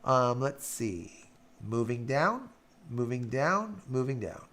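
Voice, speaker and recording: Male live-stream host, raspy and gravelly, loose rambling delivery with fillers. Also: medium pitch, very animated, slow pace, a bit bright. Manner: rambling, filler-heavy, hums while scrolling. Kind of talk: casual live-stream chat reading viewer comments.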